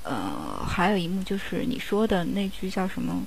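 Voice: mid-range voice, huge pitch swings, moderate pace, vocal fry, slight background noise, poor audio. An interview guest talking casually and hesitantly, often searching for words. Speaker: audio drama scriptwriter, interview guest. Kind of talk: casual chat.

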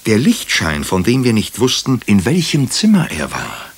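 A very low-voiced, husky male hospital doctor narrates a crime radio play. He is brooding and wry. His phrases come measured and clipped, as if thinking aloud. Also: huge pitch swings, full character voice. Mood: tense searching. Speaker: narrator and lead character. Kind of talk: German radio drama, first-person crime narration.

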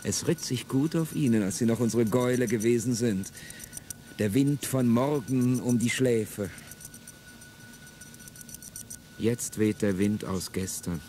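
A man's voice: mid-range voice, moderate pace, dark tone, slight background noise, close to an everyday voice. An actor playing an embittered male revolutionary in a classic radio drama, brooding and swelling into bitter outbursts, with music behind him. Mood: impassioned.

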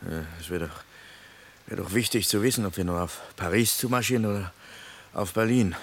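An actor playing a sceptical, grumbling escaped soldier in a German radio play, muttering hesitant, sardonic remarks. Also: male voice, on the low side, a little fast, slightly rough. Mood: hesitant, needling.

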